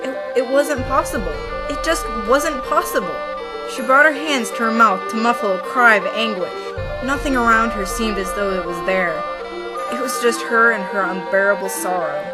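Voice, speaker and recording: A woman's voice: a little high, rough recording. A woman narrator reading a scripted, dramatic story for an audio play. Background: loud music.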